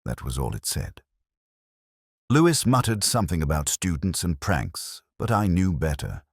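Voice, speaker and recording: A man reading a first-person mystery story in a measured, reflective way. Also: low-pitched, very animated, vocal fry, breathy edge, no echo, clean studio sound. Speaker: narrator.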